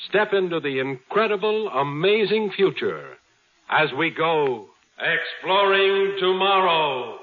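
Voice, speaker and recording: A man announcing a 1950s radio series in a dramatic, drawn-out theatrical style. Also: a little high, very animated. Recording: lo-fi vintage radio under loud music. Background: loud music.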